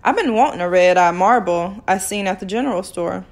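A woman's voice: mid-range voice, expressive, brisk, a little mellow, close to an everyday voice. Brief: woman reading as a teasing kid brother in a storybook, playful and cheeky. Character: mischievous young boy, the little brother.

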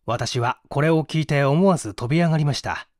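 A man reading a mystery story as dramatic narration, with measured, theatrical phrasing and deliberate pauses. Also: fairly high pitch, a little mellow, no room sound, clean studio sound. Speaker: audiobook reader and small-theatre actor.

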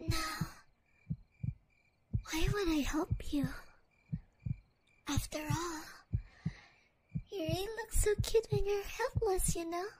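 A woman voicing an obsessive, possessive young woman in an audio roleplay, talking in soft whispers with giggles. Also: extremely high pitch, slow pace, long pauses, noisy background. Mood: sweetly menacing.

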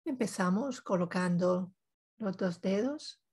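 A woman leading a guided meditation, giving calm instructions in short phrases with pauses between them. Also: mid-range voice, breathy edge.

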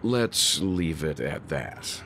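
A man playing a guarded, authoritative guardian in a video game, speaking formally and deliberately with a stern manner. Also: extremely low pitch, very animated, fairly bright tone, slight background noise, full character voice. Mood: guarded, firm. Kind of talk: dramatic video game voice acting. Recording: music behind the voice. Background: background music.

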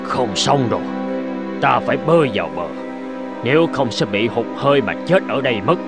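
A man's voice: medium pitch, expressive, moderate pace, over loud music, close to an everyday voice. A man voicing an old, ailing, remorseful male sea hawk in a cartoon fable, weary and plaintive. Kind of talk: animated fable voice acting.

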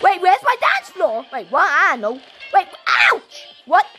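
Very high-pitched, excitable young gamer girl. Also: measured pace, over music. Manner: excitable, shouting and repeating phrases. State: coughing, sore throat. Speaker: gaming channel host. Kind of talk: live gameplay commentary. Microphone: phone.

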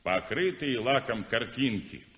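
An actor playing an outspoken art critic in a 1960s radio drama, sardonic and declamatory. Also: male voice, medium pitch, expressive, measured pace, fairly bright tone. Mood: scornful. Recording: dull old radio recording in a roomy hall.

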